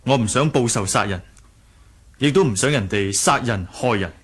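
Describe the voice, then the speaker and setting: A man's voice: mid-range voice, moderate pace, husky, close to an everyday voice. A man playing a kind-hearted, earnest young martial-arts hero in a radio drama, mild, hesitant and sincere. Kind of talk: Cantonese radio drama acting, 1980s.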